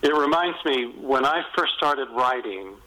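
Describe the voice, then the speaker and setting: A man of middle age or beyond speaks in a bright, forward voice at a medium pitch. He chats like an interviewed author, enthusiastic and gently self-mocking, pausing and regrouping within his thoughts. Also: expressive, low-quality recording. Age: middle-aged or older. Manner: enthusiastic, self-deprecating, halting mid-sentence. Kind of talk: casual conversational interview, anecdotal.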